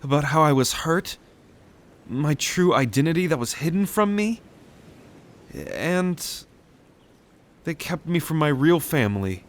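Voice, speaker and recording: A man acts out a gentle young half-blood man in a roleplay audio drama. His voice is pitched up and takes its time, tentative and earnest, with halting phrases. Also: lively intonation. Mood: wondering, wounded.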